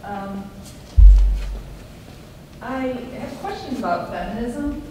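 A woman's voice: a little high, animated, takes it slowly. A woman in the audience asking a long, hesitant question full of fillers at a talk. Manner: hesitant, many fillers, rambling. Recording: echoey room, distant handheld mic, noise.

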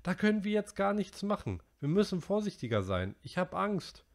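A man's voice: very animated, normal speed. A man doing Piggy, a whiny fretful schoolboy. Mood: worried. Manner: high, whiny and breathless pleading. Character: chubby anxious schoolboy, fretful and indignant.

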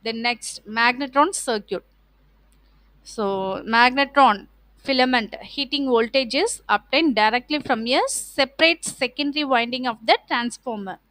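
A woman with a Tamil Indian accent gives an explanatory online lecture in a high voice. She reads out points, then restates them step by step. The sound is close and dry, with little room around it. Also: a little slow, a bit bright, dry recording, poor audio. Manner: repeats and rephrases points, frequent "okay" checks. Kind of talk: explanatory online lecture, partly reading slides.

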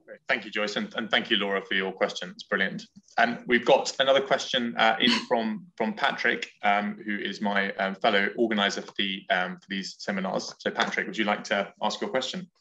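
Bright-voiced man moderating online. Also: fairly low pitch, fairly even intonation, fairly quick, poor audio. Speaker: seminar host and moderator. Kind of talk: introducing audience questions. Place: online video call.

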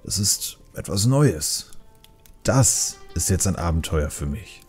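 A man playing a calm, patient older panda brewmaster uncle in a dramatised fantasy audiobook, speaking gently. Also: deep voice, slow pace, slightly rough, faint music behind, heavily acted. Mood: calm, content.

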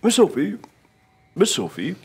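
Guy doing a servile manor butler, highish voice that goes up and down a lot, super formal, hesitant, radio play. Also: wildly swooping pitch, measured pace, heavy background noise, heavily acted. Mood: calling out. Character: dutiful, obsequious male butler of an English manor.

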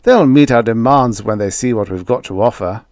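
A man's voice: mid-range voice, normal speed, darkish tone, dry recording, studio quality, close to an everyday voice. A man voicing a greedy, desperate schemer who presses and wheedles. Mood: greedy pushing. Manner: pushy, wheedling.

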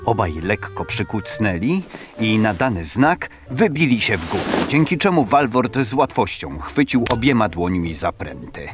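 Lively low-voiced male storyteller over music. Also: moderate pace. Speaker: narrator. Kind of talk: scripted dramatic storytelling narration. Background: background music.

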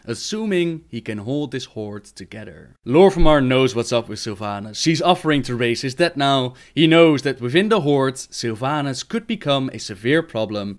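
A man reading scripted fantasy lore narration like a dramatic storyteller. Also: medium pitch.

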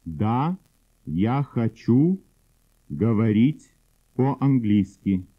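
A man reading drill sentences slowly and deliberately, word by word, for learners to repeat. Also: mid-range voice, expressive. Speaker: language instructor. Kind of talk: slow drill sentences for repetition. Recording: husky, phone-like old cassette audio.

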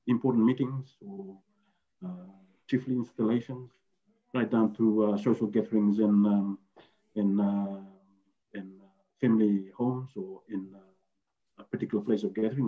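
Male guest from Fiji, lowish voice, halting and full of fillers, tinny video-call audio. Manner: halting, with many fillers and restarts. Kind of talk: explaining casually on a video call.

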